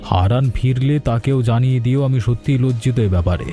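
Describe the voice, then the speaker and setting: A man voicing a dark-voiced apologetic old landlord. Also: mid-range voice, a little fast, faint music behind, close to an everyday voice. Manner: regretful, hesitant. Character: older male landlord, apologetic and kindly. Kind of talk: animated cartoon voice acting.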